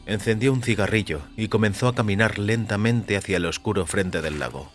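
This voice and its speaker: A man reading scripted first-person fiction as a narrator, in a measured, literary, sombre manner. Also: low voice, fairly bright tone. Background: faint music.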